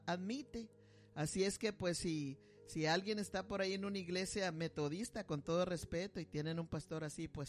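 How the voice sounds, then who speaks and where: A man preaching and commenting on a video, emphatic and dropping amen between phrases. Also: sits high.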